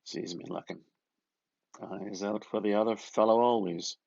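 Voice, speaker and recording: A man reading a novel aloud with expressive, dramatic narration, musing and often dropping into a hushed murmur. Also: fairly low pitch, a little slow, vocal fry, no room sound, dull audio. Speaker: reader. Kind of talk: expressive literary audiobook narration.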